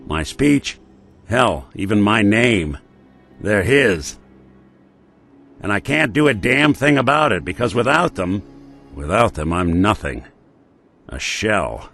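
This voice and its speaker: An actor playing a world-weary male synth detective in a game, talking with a gruff, laconic noir drawl. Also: fairly low pitch, very animated, a little slow, pauses often, a bit bright, faint music behind, heavily acted. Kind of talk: game companion dialogue.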